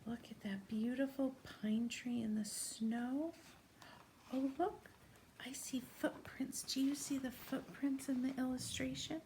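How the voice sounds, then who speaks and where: Female children's read-aloud teacher, unhurried and gentle with a creaky edge, asks questions, light noise in a home room. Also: on the high side, rough recording. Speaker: teacher reading a picture book to young children. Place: small room at home, indoors.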